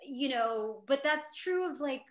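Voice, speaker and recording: A woman's voice: high-pitched, unhurried. Chatty woman actor in an echoey room. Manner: animated, chatty, many fillers and restarts. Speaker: actor on a panel. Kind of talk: casual panel storytelling. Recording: roomy echo.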